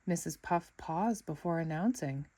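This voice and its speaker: A woman reading a children's storybook aloud in an expressive narrating style. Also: low-pitched, a little slow. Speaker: storybook reader.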